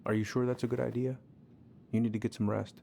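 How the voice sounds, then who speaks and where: An actor playing a cautious, caring older uncle in a video game, gravelly and measured, choosing words hesitantly. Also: male voice, mid-range voice, flat delivery, dark tone, a little hiss or hum, lightly acted. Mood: cautious, concerned. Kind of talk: naturalistic video game acting.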